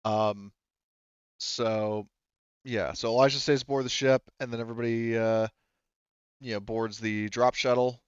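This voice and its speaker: Smooth-voiced man running a tabletop game. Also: medium pitch, steady tone, no echo, lo-fi sound. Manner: halting, conversational asides. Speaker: game master. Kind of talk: narrating a tabletop roleplaying game.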